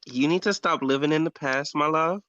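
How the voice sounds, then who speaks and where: A man's voice: on the high side, brisk, sharp tone, no echo. A man arguing in a heated, casual panel debate with a sassy, emphatic manner. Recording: slightly muffled audio.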